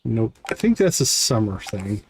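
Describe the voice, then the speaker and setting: A man's voice: fairly low pitch, big pitch swings, moderate pace, a little hiss or hum. A man giving casual live gameplay commentary as a streamer, thinking aloud to himself in short fragments. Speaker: game streamer.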